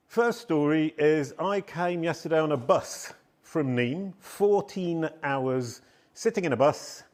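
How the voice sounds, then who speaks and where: A middle-aged man giving a live conference talk in a hall on a handheld microphone, telling stories loudly and poking fun at himself. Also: fairly high pitch. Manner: loud, animated, self-deprecating storytelling. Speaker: conference speaker.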